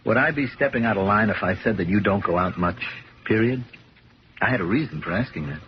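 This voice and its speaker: An actor playing an easygoing, kindhearted police lieutenant in a radio drama, with a folksy, wisecracking way of talking. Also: male voice, on the low side, brisk, close to an everyday voice. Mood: casual, probing. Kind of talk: 1970s radio drama acting. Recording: dull, noisy 1970s radio broadcast recording.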